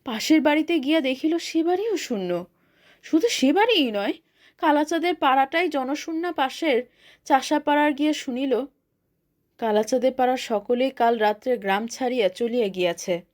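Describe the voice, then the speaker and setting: A woman narrating a literary short story in a measured, formal reading style. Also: sits high, expressive.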